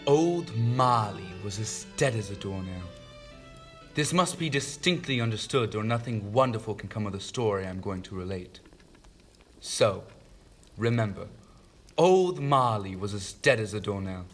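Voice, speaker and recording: An actor playing a solemn storyteller, giving deliberate, emphatic scripted narration in a radio drama. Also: male voice, lowish voice, expressive, a bit bright, faint music behind. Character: solemn male storyteller narrating a ghostly tale.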